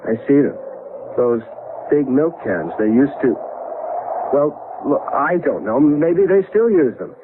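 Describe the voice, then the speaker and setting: An actor playing a flustered American husband in a 1970s radio drama, halting and repeating words as he insists on logical explanations. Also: mid-range voice, expressive, natural voice. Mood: doubtful, rationalizing. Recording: tinny, noisy 1970s radio broadcast.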